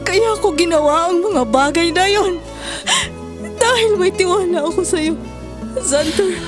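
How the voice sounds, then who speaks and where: An actress playing an anguished, wronged wife in a melodramatic audio drama, tearful, emphatic and drawn-out in her delivery. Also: very high voice. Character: anguished, wronged young wife and mother, pleading and reproachful. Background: prominent dramatic music.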